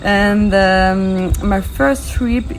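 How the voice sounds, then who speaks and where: A twenty-five-year-old man from France with a French accent, answering in hesitant, halting English. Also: sits high, music in the background. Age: 20s. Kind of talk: casual interview answers.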